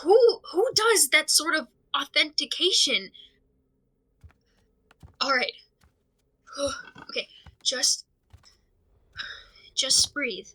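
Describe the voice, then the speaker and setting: A woman acting in an audio drama as an anxious, overwhelmed amateur investigator, talking through worries alone in a halting, stammering, rambling way. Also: high-pitched, big pitch swings, bright, forceful tone, exaggerated voice, rough recording. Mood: anxious, reasoning. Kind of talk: audio drama monologue, recorded diary.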